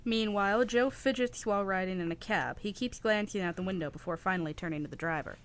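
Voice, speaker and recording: A woman's voice: mid-range voice, fast talker. A woman reading scene-setting narration for a radio play.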